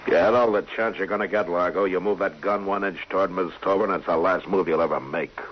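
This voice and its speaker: A man playing a stern, steady frontier lawman in 1950s western radio drama style. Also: low-pitched, fast talker. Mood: commanding, grim. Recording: muffled, noisy old radio recording.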